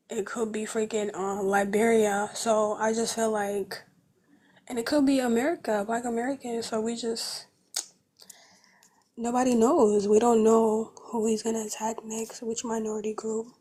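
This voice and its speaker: Panelist: woman, light even voice, hesitant, drops low and soft. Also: measured pace, husky. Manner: hesitant, drops to a near whisper. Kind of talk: casual panel discussion.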